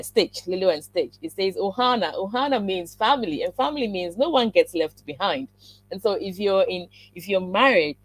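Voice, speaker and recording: A woman's voice: lowish voice, a little mellow, no room sound, rough recording. A woman hosting a relationship talk show, interviewing a married couple and giving reflective marriage advice. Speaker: host. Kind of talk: interview chat.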